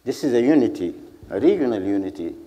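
Very deep older Sudanese man, echoing hall. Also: sing-song, dark tone. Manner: measured, deliberate, rhetorical repetition. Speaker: panelist. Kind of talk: panel speech, earnest public address. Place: seated on a stage in a large hall. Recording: echoey hall with long reverb.

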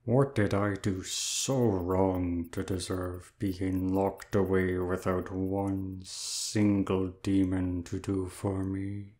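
A man's voice: low-pitched, little pitch movement, slow, hardly any pauses, a little mellow. A man playing a weary, self-pitying, lazy male demon in an audio drama, with a listless, mournful drawl.

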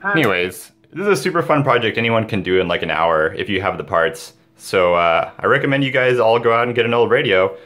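A man with a low voice narrates a hands-on hobby video. He speaks informally and with enthusiasm, addressing viewers directly. It sounds like a homemade vlog recorded in a room at home. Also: big pitch swings, fairly quick, fairly bright tone, faint music behind. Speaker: DIY video maker, host. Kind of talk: casual hobby project vlog, talking to viewers. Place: indoors, small home room.

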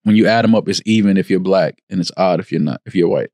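A man in his thirties co-hosting a podcast, joking in quick, lively banter in a studio. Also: low voice, dry recording. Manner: quick, jokey, animated. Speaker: podcast co-host. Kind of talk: casual banter.

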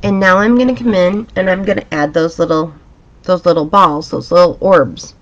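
A woman teaching a drawing pattern step by step in a calm, chatty, reassuring way, her voice a little raspy. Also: on the low side, normal speed, muffled recording. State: slightly raspy from a dusty room. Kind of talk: relaxed step-by-step drawing tutorial. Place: home art studio, indoors.

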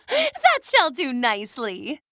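A proud, imperious young noblewoman mage for a video game. Her voice is high and sharp, swooping theatrically through formal, grand phrases. She takes her time with each short line. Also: huge pitch swings, very slow, no room sound, studio quality, full character voice. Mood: haughty, proud. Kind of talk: short battle voice clips.

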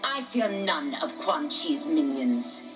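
A woman's voice: low voice, sing-song, slow pace, full character voice. A woman voicing a righteous, resolute warrior in a fighting game, formal and defiant. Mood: stern resolve. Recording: distant game audio under loud music.

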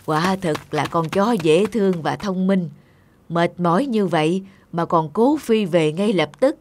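A woman playing a kindly, compassionate elderly grandmother in an animated tale, gentle and caring. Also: fairly low pitch, a little slow. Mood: caring, curious. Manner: gentle, caring, musing aloud. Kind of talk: animated moral tale dubbing.

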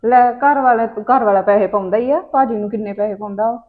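A woman's voice: fairly high pitch, fairly quick, narrow, tinny audio, close to an everyday voice. A woman playing a cheerful, easygoing young wife who teases and jokes in a home comedy sketch. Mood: playful. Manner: playful, teasing.

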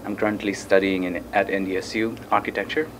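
A man's voice: on the low side, level tone, quick pace, noisy background. A young man from Nepal with a Nepali accent, speaking informally in a panel discussion. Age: young adult. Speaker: student on a panel. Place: conference room, indoors.

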